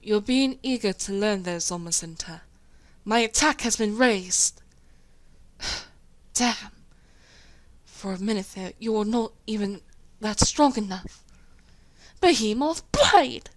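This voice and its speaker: A woman voicing a proud, solemn female warrior creature with a dramatic, measured delivery, as in a cartoon. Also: medium pitch, expressive, no echo. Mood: proud, stern.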